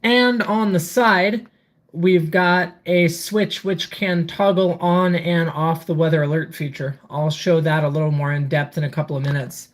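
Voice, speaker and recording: A livestream host with a mid-pitched voice. The delivery is casual but methodical, listing each item and then adding a short remark, as in a hands-on product walkthrough. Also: fairly even intonation, a little slow. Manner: methodical, reading items aloud then commenting. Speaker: livestream host reviewing gadgets.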